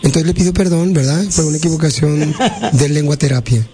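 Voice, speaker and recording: High-voiced Mexican radio prankster. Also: male voice, a little fast, soft background music. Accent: Mexican Spanish. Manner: deadpan, feigning formal officialese. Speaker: radio prank-call host. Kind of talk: prank call and studio banter.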